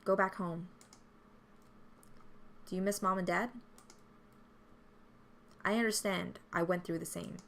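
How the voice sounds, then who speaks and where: A woman's voice: on the low side, steady tone, takes it slowly, vocal fry, pauses often, a bit bright, slight background noise. A woman playing a visual novel for a gaming channel, reading its dialogue aloud. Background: game music.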